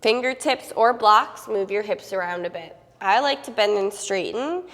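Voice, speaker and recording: A young woman teaching a yoga class on a clip-on mic, giving calm, steady cues with a slight sniffle from a cold. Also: medium pitch, normal speed, long reverb tail. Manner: calm, steady cueing with soft fillers. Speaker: yoga instructor.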